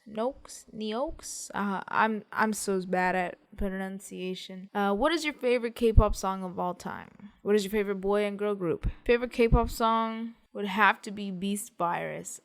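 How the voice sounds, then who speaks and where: Young woman, smooth clear voice, relaxed upbeat chat vlog, fan questions. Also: mid-range voice. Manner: chatty and upbeat, reads out questions then answers. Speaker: singer answering fan questions. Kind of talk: casual Q&A chat.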